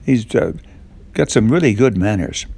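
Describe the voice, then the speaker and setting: A man telling a children's story off the cuff, in a halting way with little questions to the listener. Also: on the low side, expressive, creaky, a little mellow, breathy edge.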